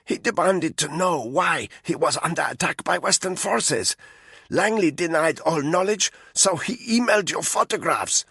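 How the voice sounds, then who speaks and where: A man voicing a frightened female informant, her voice croaking and strained as a rope chokes her. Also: on the high side, expressive, normal speed, pushed and bright, no echo, studio quality, voice pushed up, exaggerated voice. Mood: defensive. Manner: breathless, pleading, rising to cries.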